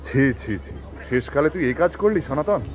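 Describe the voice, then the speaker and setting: A man's voice: on the high side, expressive, normal speed, soft background music, lightly acted. A man playing an irritable, scheming householder and master in a Bengali audio story, in an exasperated, colloquial manner. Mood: reproachful.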